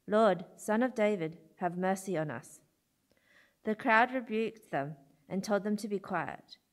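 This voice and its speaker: A woman reading a Bible passage aloud in a church, measured and steady. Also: medium pitch. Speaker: scripture reader.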